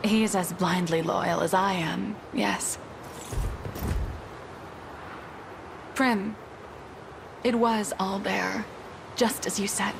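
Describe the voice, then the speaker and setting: An actress playing a proud, loyal wyvern-riding military commander in a fantasy game, with a formal, haughty, measured delivery. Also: female voice, medium pitch, steady tone, gravelly, background noise. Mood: earnest, grave. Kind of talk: dramatic fantasy game voice acting. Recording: loud orchestral music behind.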